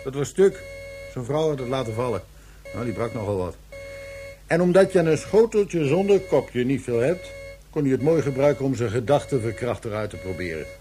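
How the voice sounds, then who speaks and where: A man's voice: medium pitch, expressive, normal speed, dark tone, faint music behind, natural voice. An actor playing a wily, mocking man in a science fiction radio drama, talking in a sardonic, casually taunting way. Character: wily, mocking man outwitting an armed soldier.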